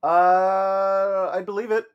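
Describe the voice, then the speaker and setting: A man's voice: high-pitched, flat delivery, slow, smooth, lo-fi sound. A man co-hosting a casual discussion, loose and joking, who swears offhandedly. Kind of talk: casual discussion of history and a play.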